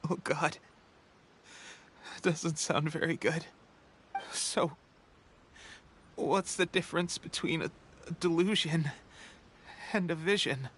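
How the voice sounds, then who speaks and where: An actor playing a weakened, earnest young soldier in a video game, halting and weary, with breaks for breath. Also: male voice, on the high side, a bit bright, a little hiss or hum. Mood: weary, uneasy. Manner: halting, hesitant, trailing pauses. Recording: game music under the voice.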